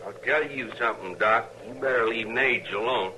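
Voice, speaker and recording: An actor playing a curt, callous frontier wolf trapper with a western American accent in an old time radio drama. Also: male voice, mid-range voice, normal speed, pushed and bright, close to an everyday voice, rough recording. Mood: cold, curt. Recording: vintage radio recording, light noise.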